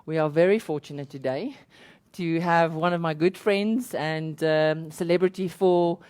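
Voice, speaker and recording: A South African woman, Afrikaans by birth, hosting a company interview in a halting, giggly way. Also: low voice, a little mellow, clean tone. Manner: giggly, halting, lots of ums and restarts. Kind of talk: casual corporate interview.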